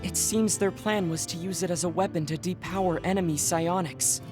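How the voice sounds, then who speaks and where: A man voicing a reserved young male soldier who hides a secret, speaking formally and softly. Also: sits high, fairly even intonation, moderate pace, close to an everyday voice. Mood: worried, formal. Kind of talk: game cutscene dialogue. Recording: game audio with music behind.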